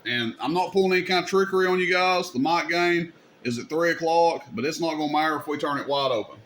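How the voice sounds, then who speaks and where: Higher-voiced man giving a casual demo, noisy background. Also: fast talker, fairly bright tone. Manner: informal, folksy, addressing viewers directly. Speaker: video host demonstrating CB radio gear. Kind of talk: casual hands-on technical demonstration.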